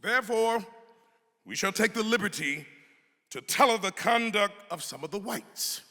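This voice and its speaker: A man with a somewhat high, forceful voice performs a dramatic reading of old formal prose. His phrasing is oratorical and emphatic, swinging widely in pitch. It rings with the long reverb of a hall. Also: expressive, normal speed. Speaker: actor giving a dramatic reading. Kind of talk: dramatic reading of an 18th-century pamphlet.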